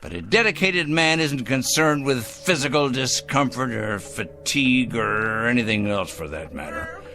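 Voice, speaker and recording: An actor playing a fanatical, self-righteous male vigilante in a radio drama, swinging from hushed murmurs to shrill outbursts. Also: animated, moderate pace. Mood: zealous. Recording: faint music score behind.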